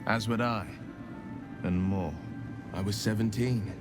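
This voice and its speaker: An actor playing an earnest, solemn young nobleman adventurer bound by a devil's pact, telling things in a measured way for a video game. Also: on the low side, fairly even intonation, music in the background. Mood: solemn recollection. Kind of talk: video game dialogue acting.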